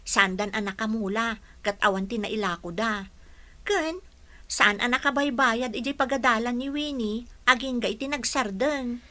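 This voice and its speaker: A woman's voice: fairly high pitch, moderate pace, sharp tone. A woman playing an indignant young schoolgirl with an emphatic delivery in a radio drama. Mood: complaining.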